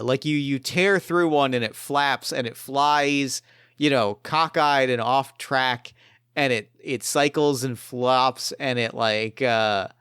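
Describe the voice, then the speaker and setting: A man's voice: mid-range voice, a bit bright, clear, resonant tone, no room sound, studio quality. A man acting as game master, narrating an improvised tabletop game casually and thinking aloud in colloquial language.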